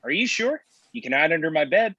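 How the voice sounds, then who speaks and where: A man acting as a wisecracking, needling friend. His voice is bright and very animated, reading a comedy script over a slightly dull, lo-fi connection. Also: on the high side, fairly quick, slightly rough, heavily acted. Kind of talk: live table read of a comedy script.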